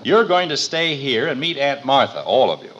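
Actor as harried radio dad, animated delivery. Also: male voice, mid-range voice, moderate pace, highs cut off, close to an everyday voice. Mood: exasperated. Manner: exasperated, wry. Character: harried middle-aged father wrangling three children, exasperated. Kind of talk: 1950s radio family comedy acting. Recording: noisy vintage radio recording.

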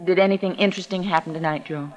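Actress as low-voiced, chattering bossy wife. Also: female voice, steady tone, rapid-fire, close to an everyday voice, poor audio. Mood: fussing. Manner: bossy, garrulous, cuts in. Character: chattering, domineering middle-aged wife who answers for her husband. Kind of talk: 1940s-50s radio drama acting.

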